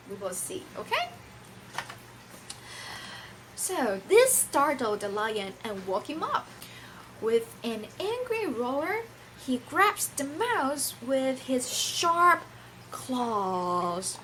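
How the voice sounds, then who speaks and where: Very animated high-voiced woman storyteller, non-native English. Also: noisy background. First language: not English. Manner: theatrical, teacherly, asks and answers her own questions. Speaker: storyteller reading a picture book to children. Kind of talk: animated children's read-aloud with questions to listeners.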